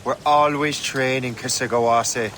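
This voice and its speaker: A man's voice: mid-range voice, steady tone, unhurried, fairly bright tone, a little hiss or hum, close to an everyday voice. An actor playing a cocky, easygoing young assassin trainee in a video game, casual in his talk.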